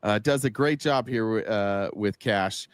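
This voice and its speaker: A man's voice: fairly low pitch, takes it slowly, clean tone, no room sound. A man giving casual political commentary, emphatic, throwing out rhetorical questions and swearing freely.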